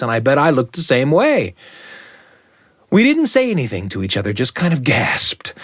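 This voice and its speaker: A middle-aged man tells a story in a dry, close recording. His pitch moves widely as he builds suspense, with deliberate pauses and a dramatic delivery. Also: no echo. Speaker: storyteller and show host. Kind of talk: dramatic first-person oral storytelling.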